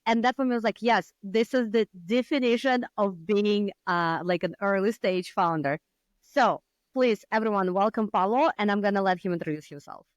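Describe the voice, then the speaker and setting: A woman's voice: medium pitch, moderate pace, dry recording, muffled recording. A woman hosting a podcast, chatting casually with a guest and laughing often. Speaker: podcast host. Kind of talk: casual interview chat.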